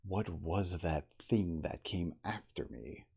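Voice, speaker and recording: Guy playing a rattled worn-out man in an asmr storybook, low breathy voice, urgent, begging for answers. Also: slow pace, darkish tone, heavily acted. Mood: desperate, insistent. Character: shaken, exhausted man desperately demanding answers. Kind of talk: ASMR storybook character reading.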